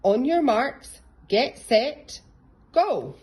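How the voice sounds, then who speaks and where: A woman voicing a brisk, encouraging female owl schoolteacher in a storybook, calling out to children. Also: high-pitched, big pitch swings, a little mellow, breathy edge, voice pushed up, exaggerated voice. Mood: commanding.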